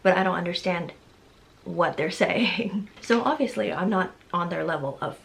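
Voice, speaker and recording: Gravelly anxious young woman vlogging. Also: lowish voice, normal speed, a bit bright, slight background noise. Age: 20s. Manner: anxious, rambling, laughs often. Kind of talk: casual confessional vlog.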